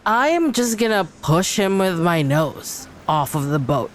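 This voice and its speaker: Woman, slightly deep voice, game player, casual narration of own actions. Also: big pitch swings, unhurried, slight background noise.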